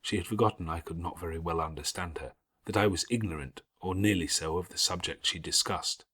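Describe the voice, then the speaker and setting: A man narrating a literary audiobook in a deliberate, expressive, formal manner. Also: low voice, slightly rough.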